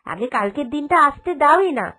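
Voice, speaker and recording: A woman's voice: high voice, sing-song, brisk, pushed and bright, soft background music, exaggerated voice. A woman voicing a sensible, caring young woman of the family in a cartoon drama, earnest and reassuring. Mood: reassuring, explaining. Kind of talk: Bengali cartoon drama dubbing.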